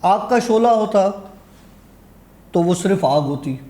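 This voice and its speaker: Mellow, higher-pitched man telling a personal tale. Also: lively intonation, slow pace. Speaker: guest storyteller. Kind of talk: conversational personal horror anecdote.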